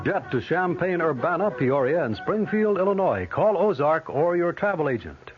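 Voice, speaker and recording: A man's voice: on the high side, big pitch swings, normal speed, highs cut off, faint music behind. A man reading scripted commercial copy as an announcer on an old radio broadcast. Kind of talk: scripted vintage radio ad read.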